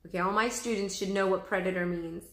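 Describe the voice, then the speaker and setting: A woman's voice: medium pitch, fairly even intonation, quick pace. A woman teacher reading a children's story aloud expressively, addressing young pupils. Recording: echoey room.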